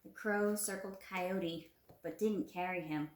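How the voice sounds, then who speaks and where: A woman's voice: on the low side, slow. Echoey young woman teacher. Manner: encouraging, prompting with simple questions. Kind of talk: children's read-aloud lesson. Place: small indoor room at home. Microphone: phone at arm's length. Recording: roomy, echoey phone recording.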